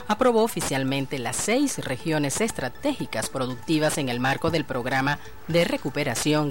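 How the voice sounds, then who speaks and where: A woman with a Venezuelan Spanish accent presenting radio news and welcoming guests in a formal announcing manner. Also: low-pitched. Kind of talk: read radio news and interview hosting.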